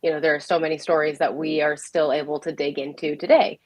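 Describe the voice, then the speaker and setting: A woman's voice: sits low, flat delivery, quick pace, dry recording. A woman hosting an interview in a casual, informal way, relaying questions from the audience. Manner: informal, chuckles often. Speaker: host.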